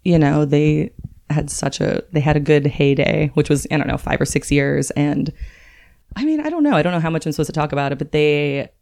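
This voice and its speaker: A woman's voice: deep voice, a little fast, gravelly, darkish tone. A young woman, a comedy producer, telling stories in a laid-back, rambling way. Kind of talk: casual interview chat.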